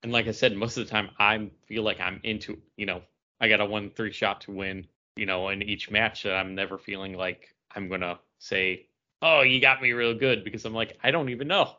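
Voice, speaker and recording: A man with a lowish but sharp, bright voice chats as a podcast co-host. He rambles casually and pokes fun at himself. The recording sounds a bit dull. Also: fairly even intonation, brisk. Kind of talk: casual chat.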